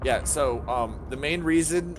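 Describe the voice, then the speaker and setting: A man's voice: a little high, unhurried, slight background noise. A man co-hosting an online game stream, chatty as he explains the tech setup over a voice-chat mic. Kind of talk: casual pre-game chat.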